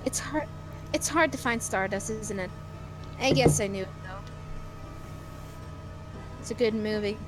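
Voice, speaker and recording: A woman's voice: mid-range voice, a little slow, pauses often, natural voice, poor audio. A woman voicing a grateful, friendly female townsperson from a fantasy game, with gushing manner. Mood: earnest. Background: video game music.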